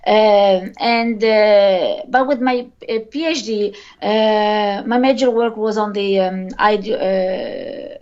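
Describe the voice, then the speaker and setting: A woman's voice: medium pitch, a little mellow. Hesitant woman, lo-fi. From Tunisia. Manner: hesitant, halting, with many pauses to search for words. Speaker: guest, linguist. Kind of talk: podcast interview. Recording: dull lo-fi recording.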